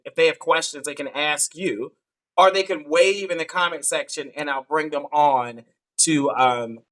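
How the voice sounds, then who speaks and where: A man's voice: on the high side, sharp tone, rough recording. A man hosting a live online dating show over a video call, teasing his guest and laughing often.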